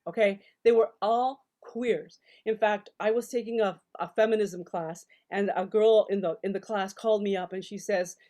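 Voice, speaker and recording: A woman's voice: medium pitch, moderate pace, few pauses. Chatty older woman on a video call. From El Paso, Texas. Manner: animated, chatty, many fillers and restarts. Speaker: guest, novelist and scholar. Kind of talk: casual storytelling interview. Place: home study with full bookshelves.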